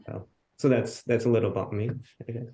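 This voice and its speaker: A man from Hawaii speaking casually as a researcher on a video-call panel, relaxed and self-deprecating. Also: medium pitch, fast.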